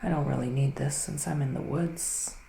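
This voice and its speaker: A man doing a soft-spoken, partly whispered ASMR roleplay as a psychic witch of the woods, with mystical, theatrical phrasing. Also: a little high, fairly even intonation, moderate pace, creaky. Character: psychic male witch living in the woods, giving readings. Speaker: ASMR performer playing a psychic reader. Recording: roomy echo with faint background noise.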